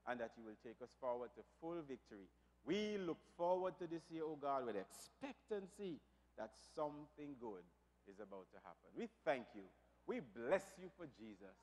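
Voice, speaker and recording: A man preaching a New Year sermon as pastor, his voice a little raspy from shouting, rising from quiet prayer to loud declarations. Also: medium pitch. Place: church. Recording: long reverb of a church hall.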